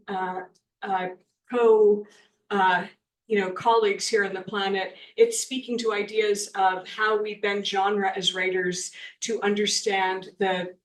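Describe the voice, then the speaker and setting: A middle-aged woman with a loud voice opens a live interview, joking about herself and laughing easily. She speaks into a handheld mic in an echoey event room. The mic catches her from some distance. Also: mid-range voice. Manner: lively and self-deprecating, laughs often. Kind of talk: onstage introduction and interview. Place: event room at a book club.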